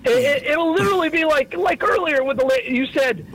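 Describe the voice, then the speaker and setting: A man's voice: extremely high pitch, lively intonation, a little fast, a bit bright, a little hiss or hum, poor audio. A talkative male radio guest who teases in casual conversation. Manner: teasing, talkative. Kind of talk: casual chat. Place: radio studio.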